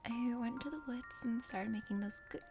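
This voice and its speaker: A plucky young woman adventurer from a visual novel, as a woman reader plays her, in a highish voice. She is casual and wry, with a teasing edge. Music is clearly heard behind her. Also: normal speed, close to an everyday voice. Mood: hesitant, casual. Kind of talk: visual novel character voice-over. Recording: music under the voice.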